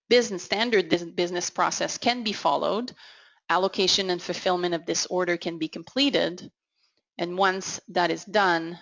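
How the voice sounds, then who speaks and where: Woman, low-pitched, gravelly fry, measured software tutorial narration, dull lo-fi recording. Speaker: technical consultant presenting a software demo. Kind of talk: instructional software walkthrough narration. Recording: dull, lo-fi audio. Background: voice-over for a screen recording.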